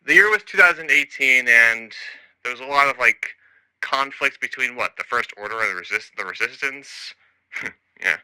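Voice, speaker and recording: A man's voice: mid-range voice, expressive, normal speed, sharp tone, smooth, no room sound, low-quality recording. A man recording an improvised audio log as a storyteller, rambling and hesitant, often trailing off and losing his thread. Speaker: storyteller and narrator.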